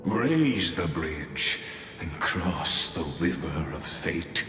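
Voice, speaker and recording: Sardonic game villain, deep voice, pompous drawl, cavernous reverb, music behind. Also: male voice, very animated, full character voice. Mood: mocking. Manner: pompous, mocking, drawn-out delivery. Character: sardonic male villain, a theatrical taunting narrator of doom. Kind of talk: dramatic scripted game monologue.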